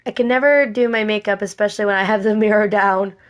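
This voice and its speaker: A woman with a slightly raspy, scratchy voice, hoarse from a cold, giving a casual makeup tutorial in a rambling, repetitive way and often correcting herself. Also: medium pitch, fairly even intonation, quick pace. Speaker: cosplay makeup vlogger.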